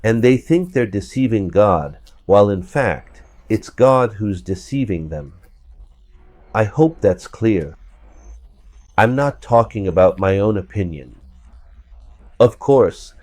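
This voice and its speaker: A man with a hoarse voice from a cold, giving verse-by-verse scripture commentary and repeating points for emphasis. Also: lowish voice, warm tone, dry recording. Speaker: religious commentator.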